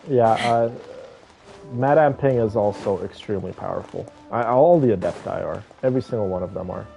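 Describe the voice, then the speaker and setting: Low, gravelly man commentating a game. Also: fairly quick, warm tone, soft background music, poor audio. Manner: thinking aloud, talking to viewers. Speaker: game streamer playing and commenting. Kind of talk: casual gameplay commentary.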